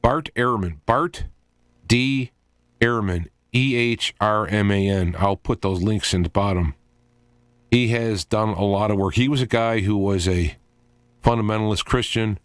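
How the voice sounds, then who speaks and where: Low-voiced man hosting a talk show. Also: no echo. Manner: halting, digressive, thinking aloud. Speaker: talk radio host. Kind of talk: informal solo talk radio monologue.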